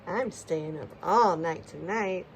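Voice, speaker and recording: A woman voicing an excited, stubborn little boy bear cub in a children's storybook. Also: slow, a little hiss or hum, low-quality recording. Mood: eager, defiant. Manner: eager, squeaky protests.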